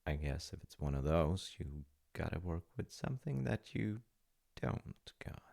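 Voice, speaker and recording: A man playing a gentle, playful dad, telling a bedtime folk tale in a husky, gravelly voice with unhurried pauses and soft asides. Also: a bass voice, very animated. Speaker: audio roleplay voice actor playing a dad. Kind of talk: intimate comforting roleplay, improvised-sounding bedtime storytelling.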